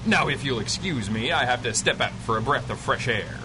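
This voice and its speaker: An actor playing a smooth-talking, daring pilot adventurer in a radio drama, wry, courteous and coolly ruthless. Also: male voice, mid-range voice, animated, fairly quick, loud music behind the voice, close to an everyday voice, rough recording. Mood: coolly mocking. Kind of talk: 1930s-set radio adventure acting.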